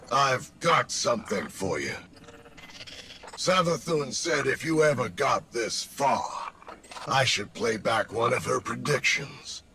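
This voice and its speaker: A man playing a snide, cynical robotic companion in a video game, with a gravelly voice and a sarcastic, slangy drawl, over background noise. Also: medium pitch, very animated, slow pace, pauses often, sharp tone, exaggerated voice. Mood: grudging. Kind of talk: video game character dialogue.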